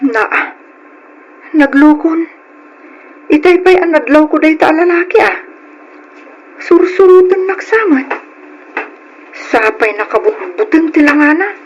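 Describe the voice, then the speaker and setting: A woman's voice: high-pitched, lively intonation, measured pace, pauses often, highs cut off, a little hiss or hum. A woman playing a caring, timid young Filipina working abroad in an old-style radio drama. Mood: nervous. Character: young Filipina migrant worker, caring older sister, timid. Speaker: narrator and lead of a letter drama.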